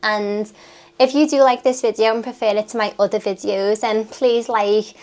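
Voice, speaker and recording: A woman's voice: mid-range voice, steady tone, normal speed, darkish tone. A British woman in her thirties, a nutritionist and personal trainer, chatting unscripted to the camera while sniffly and chesty from a cold. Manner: chatty, rambling, listing things off. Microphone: talking to camera.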